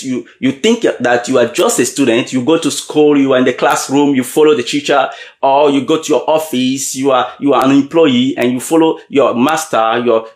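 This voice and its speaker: A man giving a motivational leadership talk, often pausing to check in with his audience and repeating key questions. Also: mid-range voice. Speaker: leadership coach. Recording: roomy echo.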